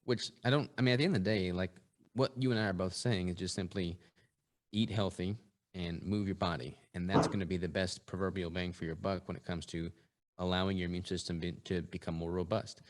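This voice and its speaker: A man with a low-pitched voice talks as a guest on a podcast. His delivery is conversational, in the manner of an informal interview. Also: steady tone, brisk.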